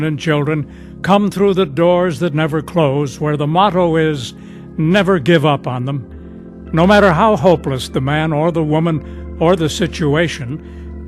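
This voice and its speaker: A man narrating as the announcer of a radio drama program in a scripted style. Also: fairly high pitch.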